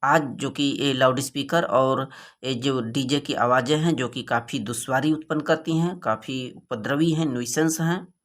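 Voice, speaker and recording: A man's voice: mid-range voice, fairly bright tone. A man with a North Indian accent, a native Hindi speaker, giving an explanatory exam lesson as a teacher, didactic and working phrase by phrase. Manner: didactic, reads English slowly phrase by phrase, then explains. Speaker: English teacher for board exam students.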